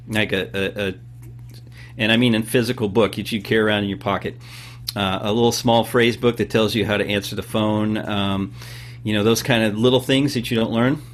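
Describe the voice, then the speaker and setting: A man in his fifties from California, explaining things at length in a relaxed interview, with many pauses and fillers. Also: lowish voice, slight background noise. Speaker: podcast guest and host of his own show. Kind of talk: casual interview talk.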